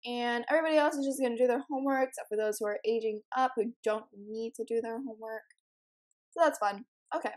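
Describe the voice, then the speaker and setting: Teen girl let's player, raspy morning voice, kinda high, rambly with lots of ums. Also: quick pace. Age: teens. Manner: chatty, giggly, rambling with many ums. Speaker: let's play host. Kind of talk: casual gameplay commentary.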